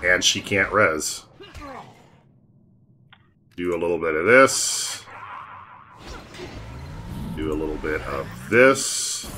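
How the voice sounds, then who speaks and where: A man hosting a casual gameplay commentary, explaining team strategy in a relaxed way. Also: deep voice, takes it slowly, long pauses, a little hiss or hum. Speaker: gaming channel host.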